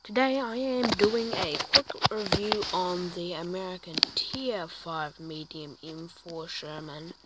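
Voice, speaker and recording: A woman with a croaky voice from a sore throat gives chatty, self-deprecating gameplay commentary while reviewing a tank in a video game, often stumbling over her words. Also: fairly low pitch, slow pace, hardly any pauses. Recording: loud background noise with clicks.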